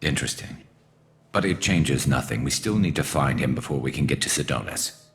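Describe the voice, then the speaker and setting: Very deep, gravelly alien vigilante. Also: male voice, expressive, fairly quick, exaggerated voice. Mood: grim, determined. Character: alien vigilante ex-cop, male, cool, grimly determined. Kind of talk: video game acting.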